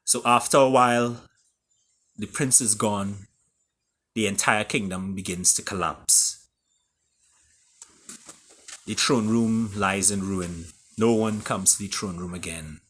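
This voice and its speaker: A man with a Caribbean accent reading his own story aloud in a theatrical way, with deliberate pauses. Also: lowish voice, fairly even intonation, a bit bright. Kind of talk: dramatic storytelling.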